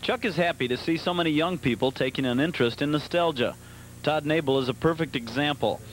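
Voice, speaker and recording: A man's voice: a little high, fairly quick, background noise. A man reading scripted narration as the reporter of a magazine show feature.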